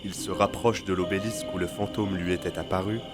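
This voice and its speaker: Low-voiced man narrating a fantasy tale. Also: fairly even intonation, a little fast. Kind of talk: scripted fantasy audio drama narration. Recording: loud music under the voice.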